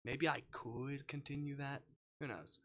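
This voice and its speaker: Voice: medium pitch, fairly even intonation, measured pace. A live streamer chatting casually while playing visual novels, rambling and thinking aloud.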